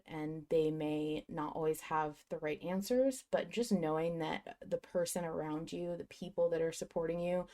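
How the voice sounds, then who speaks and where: Female podcast host with a low voice, earnest and conversational. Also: warm tone. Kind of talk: casual reflective chat.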